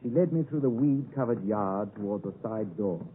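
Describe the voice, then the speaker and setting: Actor as a reflective gentleman narrator, tinny old radio. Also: on the low side, a little hiss or hum, close to an everyday voice. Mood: reflective, earnest. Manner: measured, literary storytelling. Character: grown-up Pip, reflective gentleman recalling his boyhood. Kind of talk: 1950s radio drama narration. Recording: tinny 1950s radio broadcast, music behind.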